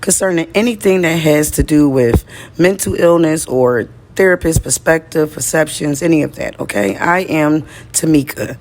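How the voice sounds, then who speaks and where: A woman from the United States with a raspy, slightly hoarse voice, talking straight to her viewers in a casual diary-style monologue. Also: sits low, lively intonation, normal speed, darkish tone, slight background noise. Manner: chatty, direct, addresses viewers as y'all. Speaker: vlogger.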